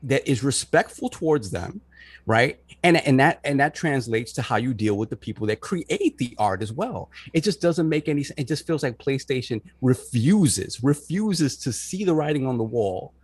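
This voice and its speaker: A man's voice: mid-range voice, expressive, normal speed, breathy edge, no echo. A man hosting a casual gaming podcast, making emphatic points with repeated words and tag questions. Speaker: podcast host.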